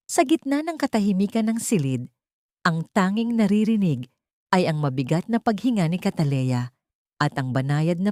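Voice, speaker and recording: Low-pitched woman narrating with sweeping intonation. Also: dark tone, dry recording, clean studio sound. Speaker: narrator of audio drama. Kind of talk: dramatic scripted story narration.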